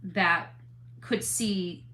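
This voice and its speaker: A woman speaking earnestly to an audience as a commentator, halting and emphatic, repeating phrases to press her point. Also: lowish voice, fairly even intonation, pushed and bright. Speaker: interviewee, online true crime commentator.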